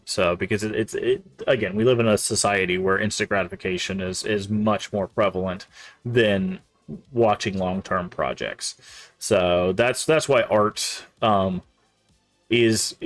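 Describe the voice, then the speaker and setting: A man's voice: fairly low pitch, no echo. A young man streaming gameplay, chatting casually and restarting his sentences, sounding a little congested and nasal. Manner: rambling, restarts phrases often.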